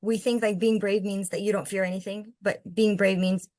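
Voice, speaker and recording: A woman's voice: medium pitch, steady tone, normal speed, a little mellow, no echo. A woman who hosts read-alouds of picture books and chats about them in an encouraging way. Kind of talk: picture-book read-aloud and casual discussion.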